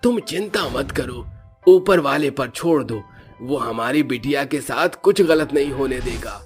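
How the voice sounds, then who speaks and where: A man voicing a blind, poor, elderly father who speaks in a calm, reassuring way. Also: medium pitch, unhurried, soft background music, lightly acted.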